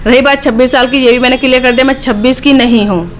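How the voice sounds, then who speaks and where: A woman's voice: high-pitched, steady tone, fast talker, slight background noise. A woman in her late twenties, a vlogger chatting directly to her viewers in a casual, frank way, often checking in with "okay". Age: late 20s.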